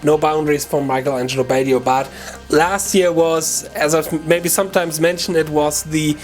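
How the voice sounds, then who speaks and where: A man with a German accent hosting a guitar lesson, talking to viewers in an informal, chatty way with halting self-corrections. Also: on the high side, fairly even intonation. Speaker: guitar teacher and video channel host. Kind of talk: casual video lesson talk to viewers.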